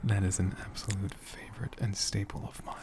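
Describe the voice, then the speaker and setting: A man speaking as an ASMR host in a soft, gentle, hushed whisper, taking his time. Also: lowish voice, little pitch movement, a little fast.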